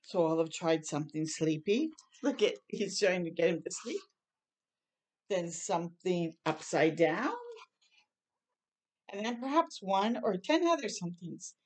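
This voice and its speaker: Slow, expressive woman storyteller. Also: lowish voice. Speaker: children's storytime reader. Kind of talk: expressive storybook read-aloud with asides.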